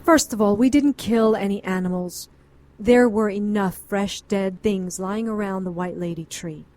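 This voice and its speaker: A woman's voice: medium pitch, unhurried, darkish tone, natural voice. A woman playing a composed, defensive witch who frankly narrates her past in an audio drama. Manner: frank, self-justifying.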